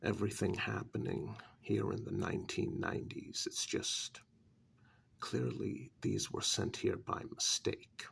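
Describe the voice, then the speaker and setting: A man in a soft-spoken ASMR story role-play, narrating a horror tale in a breathy, gravelly half-whisper as an asylum director, pausing between short phrases. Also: fairly low pitch. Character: asylum director calmly recounting eerie past events. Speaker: narrator telling a horror tale in first person.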